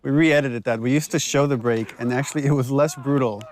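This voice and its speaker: A man speaking as a game director, explaining scenes in a casual, measured, somewhat halting way. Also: mid-range voice, fairly even intonation.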